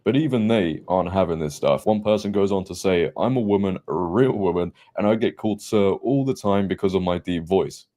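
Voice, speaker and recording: A man's voice: low-pitched, brisk, hardly any pauses, warm tone. A man delivering sarcastic, mocking scripted commentary on a video. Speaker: commentary channel host.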